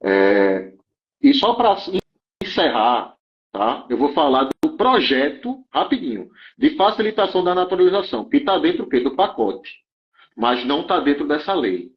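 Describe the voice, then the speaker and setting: A man's voice: fairly high pitch, very animated, normal speed. Man explaining rules, muffled audio. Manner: checks in often with "tá?" and restarts phrases. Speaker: guest explaining German immigration rules. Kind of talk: explanatory interview talk.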